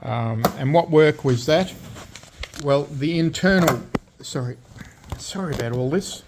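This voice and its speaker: A man's voice: fairly high pitch, measured pace, noisy background. A man at his workbench, casually talking through a radio repair, thinking aloud with fillers and restarts. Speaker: radio restorer. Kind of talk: casual repair vlog narration. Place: home workshop at a workbench. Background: rain storm outside.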